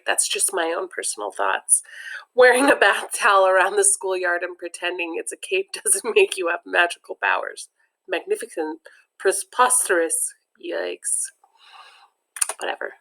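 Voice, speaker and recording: A woman reads a children's comic book aloud in a chatty way. She keeps pausing to offer her own asides between passages. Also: mid-range voice, fairly bright tone. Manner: chatty, adds personal comments between passages. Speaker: read-aloud narrator.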